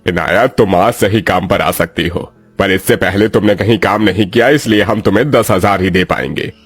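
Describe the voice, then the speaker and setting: A man playing kindly, fair middle-aged fathers and bosses in a moral story, speaking in a measured way. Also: deep voice, breathy edge, no room sound, faint music behind. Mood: businesslike.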